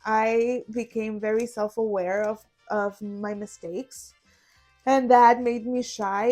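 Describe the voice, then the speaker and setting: A woman's voice: medium pitch, darkish tone. A young woman, chatting casually and poking fun at herself while she solves a puzzle. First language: Spanish. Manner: chatty and self-deprecating, rambling with fillers. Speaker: vlogger.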